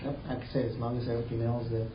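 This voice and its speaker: Flat-voiced councilman giving approval. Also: mid-range voice, very fast, noisy background. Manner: agreeable, conditional approval. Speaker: council member. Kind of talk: comment at a council meeting. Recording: echoey, dull council chamber audio.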